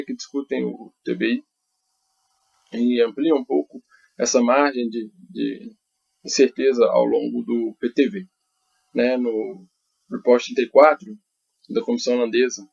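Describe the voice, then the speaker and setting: A man with a Brazilian accent giving an academic conference presentation as a researcher, speaking haltingly and often adding little tag questions. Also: mid-range voice, gravelly, darkish tone, slightly rough. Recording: dull, lo-fi audio.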